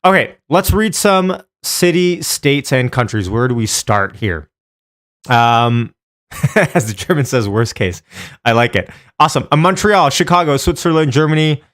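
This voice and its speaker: A man's voice: mid-range voice, expressive, a little slow, fairly bright tone. Man hosting a casual live stream. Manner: informal, chatty, addresses viewers by name. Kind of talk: casual live stream chat and stock options commentary.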